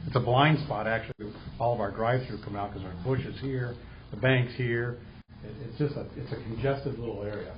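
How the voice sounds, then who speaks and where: A man's voice: mid-range voice, fairly even intonation, brisk. Rambling man at a council mic. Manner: rambling, many restarts and fillers. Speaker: bank representative presenting a proposal. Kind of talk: public comment at a council meeting. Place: city council chamber. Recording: echoey, dull council chamber audio with hum.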